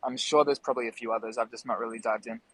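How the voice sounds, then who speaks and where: A man's voice: mid-range voice, fairly even intonation, racing, a bit bright, no echo. A man moderating a casual online discussion in an easygoing, appreciative way. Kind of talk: casual chat.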